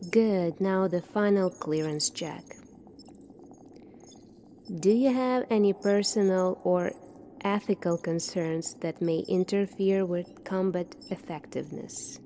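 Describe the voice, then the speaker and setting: A soft-spoken woman doing an ASMR roleplay as a calm military doctor, asking questions in a measured way. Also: lowish voice, a little hiss or hum. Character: calm seasoned future military doctor examining a space recruit.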